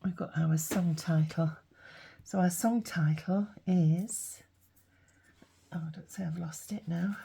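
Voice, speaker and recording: A middle-aged woman with a croaky voice, half-whispering to herself while making a collage. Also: on the low side, takes it slowly, warm tone, a little hiss or hum. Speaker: craft video host. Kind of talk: soft-spoken crafting commentary.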